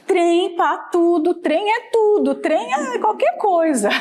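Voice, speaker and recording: Brazilian lady from minas preaching, super high pitched, bouncy intonation, keeps going "né?". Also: animated, very slow, darkish tone. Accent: Brazilian Portuguese, Minas Gerais. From Brazil, Minas Gerais. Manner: conversational, asks the listeners for agreement. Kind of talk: sermon teaching.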